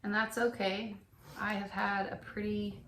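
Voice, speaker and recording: A middle-aged woman, a little hoarse from shouting, co-hosting a casual podcast and gently asking questions. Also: mid-range voice, level tone, unhurried, roomy sound, slight background noise, poor audio. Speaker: podcast co-host. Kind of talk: casual chat.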